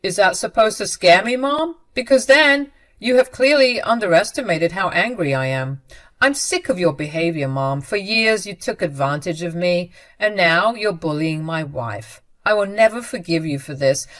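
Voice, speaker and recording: A woman's voice: fairly low pitch, sing-song, normal speed. A woman doing a furious grown son. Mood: firm. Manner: firm, clipped. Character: angry grown son, firm and cold.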